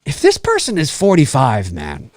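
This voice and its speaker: A man hosting a casual podcast, talking in a loose, rambling way and dropping curse words freely. His pitch swings widely as he slips into quick mock voices of commenters. The sound is close and dry, with almost no room around it. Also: no echo. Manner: lively, profane, rambling with mock-quoted voices. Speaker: podcast host. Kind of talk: casual chat.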